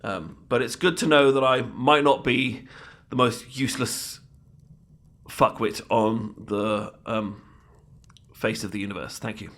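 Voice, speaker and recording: A man's voice: medium pitch, fairly even intonation, a little slow, a bit bright, natural voice. A British man playing a bumbling, lovestruck knight in a comedic fantasy audio roleplay, stammering politely and apologetically. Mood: hopeful, awkward. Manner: stammering, flustered, apologetic, repeats words.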